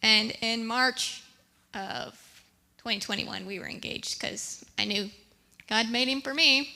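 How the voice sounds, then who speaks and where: A woman's voice: fairly high pitch, measured pace, creaky, pushed and bright. A woman missionary telling a story in a casual, chatty way to a church. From United States. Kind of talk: church presentation. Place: church sanctuary.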